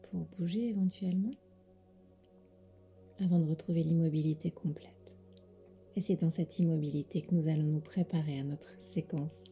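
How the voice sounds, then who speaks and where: Very mellow, breathy woman guiding meditation. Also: on the low side. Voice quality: soft, breathy. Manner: soothing, gentle instructions with pauses. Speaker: yoga nidra teacher. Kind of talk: guided sleep meditation.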